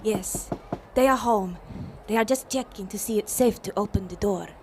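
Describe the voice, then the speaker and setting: An actress playing a warm, proud feminist mother from Iceland in a radio play, brisk and welcoming as she explains things. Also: mid-range voice, background noise, close to an everyday voice. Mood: brisk, friendly.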